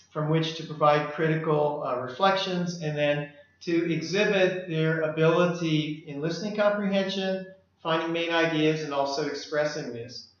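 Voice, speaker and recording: A middle-aged man, a language lecturer, walking through slides of his work in a measured way, often pausing on "uh". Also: a little high. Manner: measured, with frequent "uh" fillers. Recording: echoey room, dull lo-fi sound.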